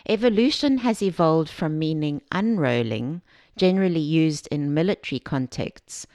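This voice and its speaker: A woman from Zambia giving a scripted self-help talk as a podcast host, measured and explanatory, speaking directly to the listener. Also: low voice, a little mellow, dry recording, studio quality.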